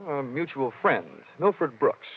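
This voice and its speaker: Hard-boiled investigator, American accent, medium-pitched, sardonic, wisecracking, 1940s radio drama, muffled vintage sound. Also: male voice, animated, a little slow, breathy edge. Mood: wry probing. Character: wry, tough male freelance insurance investigator, hard-boiled.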